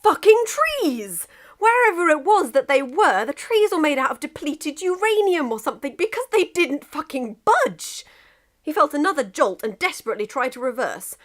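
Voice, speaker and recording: A woman narrating a comic piece of fan fiction in an expressive, emphatic storytelling manner. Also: high-pitched.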